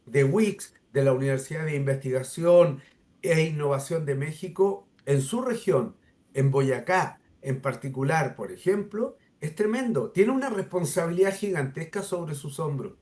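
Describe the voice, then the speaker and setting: A man's voice: fairly high pitch, expressive, moderate pace, darkish tone. A middle-aged Chilean man with a Chilean accent, speaking formally in a discussion with many hesitant fillers and emphatic stresses. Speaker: interviewer. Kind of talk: formal discussion, addressing a researcher.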